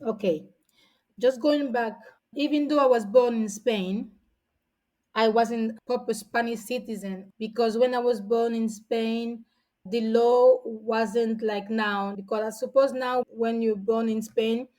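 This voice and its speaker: A woman's voice: fairly high pitch, steady tone, measured pace, darkish tone. A woman in her forties from São Tomé and Príncipe, a guest telling stories in a chatty way with frequent restarts. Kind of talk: casual storytelling.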